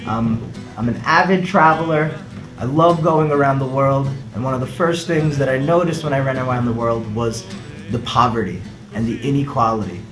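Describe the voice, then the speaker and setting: A man, a startup co-founder giving an earnest pitch with rhetorical questions. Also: normal speed. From United States. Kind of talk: scripted pitch video. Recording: echoey room, faint music behind.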